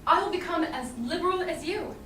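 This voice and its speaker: A woman acts a proud young noble wife on stage, scolding with sharp wit in Shakespearean verse. The voice is very high and bright, indignant and declamatory, heard from far off in a roomy theatre. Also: fairly quick. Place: small indoor theatre stage. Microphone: far audience camera. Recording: roomy, noisy distant audience camera.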